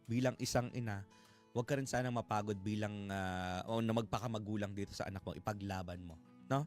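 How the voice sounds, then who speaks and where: A man's voice: on the low side, steady tone, a little fast, darkish tone. A man hosting a live radio talk show, reacting to callers and listeners in a conversational way. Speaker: radio host. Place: radio studio. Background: background music.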